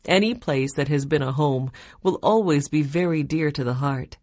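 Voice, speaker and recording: A woman voicing a warm, wistful woman who is a family friend, in a children's audiobook. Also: low voice, normal speed, no echo, studio quality.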